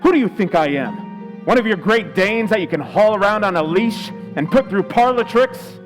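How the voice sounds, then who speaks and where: Actor as a brooding, higher-voiced noir husband. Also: male voice, animated, moderate pace, faint music behind, low-quality recording. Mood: furious, threatening. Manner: terse, moody, intense. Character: weak, violent, brooding kept husband of movie star. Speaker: actor in a community playhouse radio play. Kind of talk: old-time radio suspense acting.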